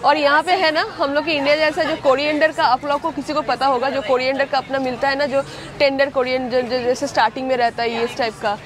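A woman's voice: high-pitched, flat delivery, fast. Chatty woman shopping an outdoor market. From India. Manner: chatty, talks to viewers while handling produce. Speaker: vlogger. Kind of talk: casual vlog chat while shopping. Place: outdoor farmers market stalls. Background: market crowd chatter.

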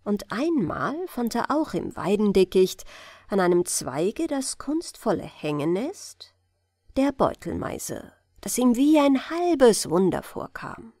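A woman with a German accent reading a fairy tale aloud in a calm, gentle bedtime style, in a lilting storyteller manner. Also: mid-range voice, slow pace, no echo, clean studio sound. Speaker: audiobook reader.

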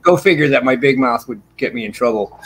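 An older man chatting on a video call from a small room at home, talking at length and drifting from story to story. His voice sits in an ordinary middle pitch, relaxed and unhurried. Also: slight background noise. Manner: talkative, rambling storyteller. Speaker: bassist guest. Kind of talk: casual interview chat. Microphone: video call with desk mic.

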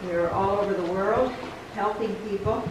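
A woman's voice: mid-range voice, moderate pace. A middle-aged woman giving a chatty, enthusiastic announcement at a church pulpit. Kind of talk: casual announcement to a congregation. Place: small wooden church sanctuary, at a pulpit. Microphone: stand mic at the pulpit, far camera. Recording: echoey church room from a distant camera, background noise.

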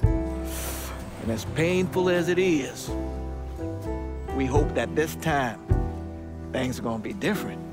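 A man playing a sorrowful, reflective storyteller in a video game, speaking in a solemn, measured way. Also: on the high side, animated. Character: reflective, sorrowful male narrator recalling hard times. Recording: loud guitar music over the voice.

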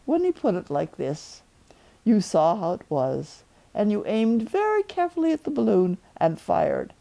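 A woman's voice: mid-range voice, sing-song, measured pace, vocal fry, warm tone. A woman in her seventies narrating a children's storybook as a grandmother storyteller, indoors in a living room. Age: 70s.

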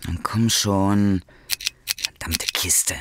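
An actress playing a tense female detective in an audio drama, breathy and hushed, cursing under pressure. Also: very deep voice, steady tone, drawn-out words, heavily acted. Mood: tense, urgent.

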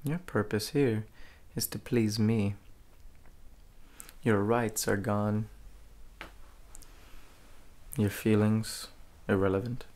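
A young man performing an ASMR role play as an arrogant, menacing gang leader, speaking in a slow, deliberate, hushed way. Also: lowish voice, steady tone. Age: young adult. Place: small dim room indoors.

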